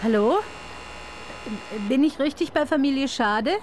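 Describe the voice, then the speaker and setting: An actress playing a sharp, sardonic homicide detective in a radio crime drama, brisk, dry and probing. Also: female voice, high voice, background noise. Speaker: detective chief inspector.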